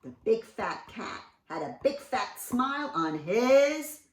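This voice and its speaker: A woman reads a picture book aloud for young children. Her high voice moves widely up and down, with dramatic emphasis and questions to the listener. It sounds echoey, as if recorded a little away from the mic. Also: very animated, slow. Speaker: children's read-aloud host. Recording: roomy echo, distant mic.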